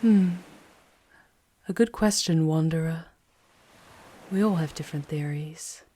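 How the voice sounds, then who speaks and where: A woman playing a charming, poised female demon guide in an intimate ASMR roleplay, speaking in a measured way with breathy, hushed touches. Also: deep voice. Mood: pensive, gentle. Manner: measured, deliberate, often dropping into breathy whispers.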